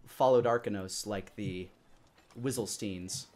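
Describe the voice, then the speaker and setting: A man's voice: on the low side, unhurried, a little mellow, a little hiss or hum. Man in his thirties running a fantasy game. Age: 30s. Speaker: dungeon master, host. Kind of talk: narrating a live-streamed tabletop game.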